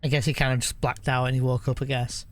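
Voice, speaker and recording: A man's voice: mid-range voice, flat delivery, fast. A man giving casual live commentary as a streamer watching game cutscenes. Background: game music.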